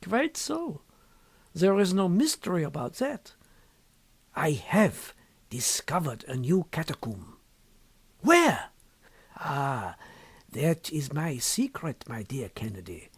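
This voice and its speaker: A man voicing a sly, impassive, secretive scholar in an audiobook story, with measured, deliberate and formal phrasing. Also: fairly high pitch, wildly swooping pitch, slightly rough, dry recording, heavily acted. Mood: smug, secretive. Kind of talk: audiobook character dialogue.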